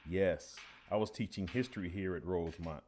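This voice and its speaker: An actor playing an earnest veteran high school teacher giving a measured, official interview in a radio play. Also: male voice, a little mellow, slight background noise, natural voice. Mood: proud, matter-of-fact.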